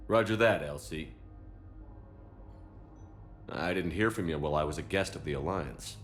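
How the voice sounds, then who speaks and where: A man playing a steady, supportive military commander in a video game, direct and clipped in his phrasing. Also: extremely low pitch, normal speed, faint music behind. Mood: reassuring.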